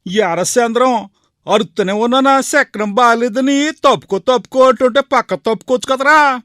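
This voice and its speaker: A man's voice: very high-pitched, moderate pace, fairly bright tone, no echo, studio quality. A man voicing a rustic bullock-cart driver in a story, blunt, colloquial and defensive.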